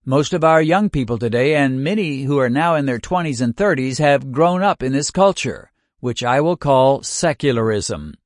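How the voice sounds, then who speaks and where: Older man reading in a measured cadence. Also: mid-range voice, expressive, no room sound, studio quality. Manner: measured, deliberate cadence with long lists. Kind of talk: scripted first-person reading.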